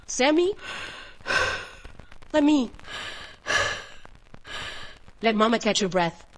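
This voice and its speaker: A woman's voice: fairly high pitch, sing-song, a little slow, rough recording. A woman playing an anxious, protective mother in a horror radio drama, soothing but hesitant and shaken. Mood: shaken, tender.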